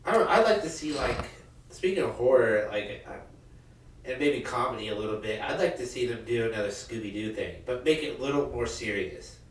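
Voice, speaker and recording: A man's voice: animated, fairly quick. A man in casual podcast conversation, speaking tentatively and sounding off from the microphone. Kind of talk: casual chat. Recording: distant from mic, roomy, noisy, rumble.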